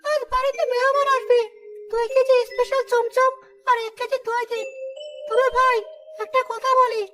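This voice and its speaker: Man as a very high-voiced, mild-mannered customer. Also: little pitch movement, normal speed. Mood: polite, concerned. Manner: polite, pleading, moralizing. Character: mild, reasonable male customer gently reproaching. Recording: clear background music throughout.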